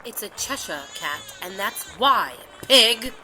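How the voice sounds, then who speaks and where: A woman's voice: mid-range voice, expressive, slow pace, noisy background. A woman voicing a brusque, bossy, short-tempered Duchess in an audiobook. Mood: snapping, violent. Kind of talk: audiobook character acting.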